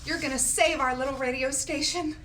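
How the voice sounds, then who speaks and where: A woman's voice: high voice, steady tone, fairly quick, close to an everyday voice. A woman playing a flustered, hopeful radio station worker in a musical comedy table read. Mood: hopeful, earnest. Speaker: actor in a script table read. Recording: distant mic, faint background hiss.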